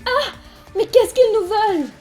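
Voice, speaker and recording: A woman playing an earnest, easily frightened high school girl in an amateur video game dub. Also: lively intonation, unhurried, a little mellow, soft background music. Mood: alarmed.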